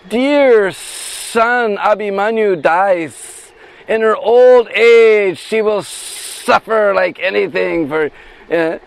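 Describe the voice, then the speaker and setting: Man voicing a solemn servant snake, drawn-out halting lines, raised voice. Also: high voice, creaky, pitched above the performer's own voice, heavily acted. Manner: slow, halting, deliberate.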